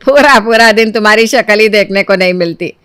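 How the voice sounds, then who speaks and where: A woman's voice: mid-range voice, a little fast, a bit bright, smooth, no room sound, clean studio sound, natural voice. A woman voicing a sly, scolding elderly mother-in-law in a cartoon story, with sarcastic, theatrical needling. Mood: needling, falsely sweet.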